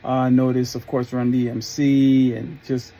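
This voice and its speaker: Mellow middle-aged man telling stories. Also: mid-range voice, level tone, a little slow, dull audio. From New York area, US. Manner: rambling, conversational, reflective. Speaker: storyteller, former concert promoter. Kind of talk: casual personal storytelling.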